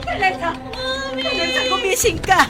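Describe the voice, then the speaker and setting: A woman's voice: very high voice, normal speed, heavy background noise. An actress playing a panicked woman pleading for help in a Filipino radio melodrama, frantic and wailing. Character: panicked, pleading younger sister crying for help. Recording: very loud music and crowd-like din behind.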